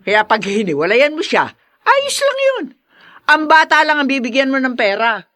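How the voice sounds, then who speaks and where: A woman's voice: high-pitched, big pitch swings, moderate pace. A woman playing a scornful, meddling mother of a grown son in a Tagalog radio drama, mocking and exclamatory. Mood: scornful, urging.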